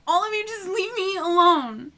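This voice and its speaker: A woman playing a terrified, tormented village girl in a horror radio drama, halting and pleading. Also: very high-pitched, animated, very noisy. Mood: distraught.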